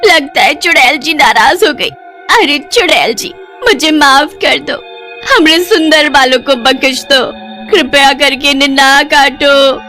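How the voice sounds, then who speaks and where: High, wailing village wife played by a woman. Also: a little slow, bright, forceful tone, faint music behind. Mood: panicked pleading. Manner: rustic, wailing, melodramatic. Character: distraught village wife shorn bald, whining and indignant. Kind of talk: Hindi cartoon horror-comedy acting.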